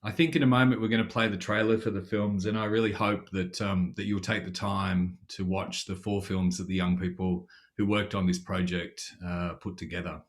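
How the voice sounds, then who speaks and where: A man presenting a project live online in a measured, formal way. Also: low voice, level tone.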